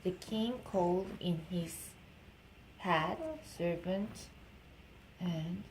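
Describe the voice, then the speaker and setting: A woman's voice: on the low side, very slow, background noise. A young woman, a native Korean speaker with a Korean accent, reading a storybook aloud to her baby and chatting to the child in between. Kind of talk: storybook read-aloud with informal baby talk.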